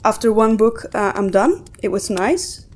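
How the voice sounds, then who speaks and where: A woman co-hosting a podcast in a casual book discussion, thinking aloud in a halting way as she gives her opinions. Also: mid-range voice, expressive. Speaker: podcast co-host.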